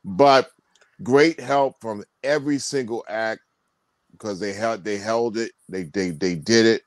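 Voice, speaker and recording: A man co-hosting a casual video call chat, talking in a rambling, animated way with many restarts. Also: lowish voice, measured pace, dry recording. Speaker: tour promoter and co-host.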